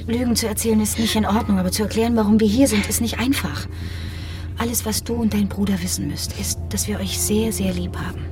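An actress playing a loving, anxious mother in a German radio drama, speaking to her young son in a gentle, pleading way. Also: medium pitch, fairly even intonation, brisk, music in the background, close to an everyday voice. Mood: tender, worried.

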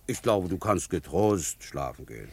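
Deep, animated, teasing policeman. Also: moderate pace, gravelly, slightly rough, no room sound, full character voice. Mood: ironic, indulgent. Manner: teasing, mock-solemn, drawling irony. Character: good-humoured adult policeman, teasing and indulgent. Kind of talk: children's radio play acting.

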